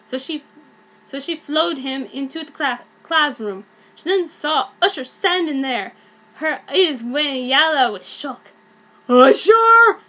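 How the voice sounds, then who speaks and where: A young woman reads amateur fan fiction aloud for comedy. Her voice is high and bright, and her delivery is theatrical and mock-earnest. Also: measured pace, low-quality recording.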